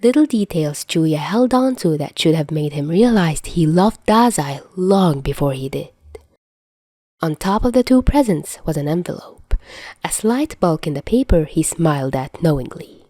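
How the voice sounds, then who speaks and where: Soft mellow woman reading a story aloud. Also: deep voice, lively intonation, normal speed, no room sound, studio quality. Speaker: podfic reader and host. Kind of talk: scripted story narration.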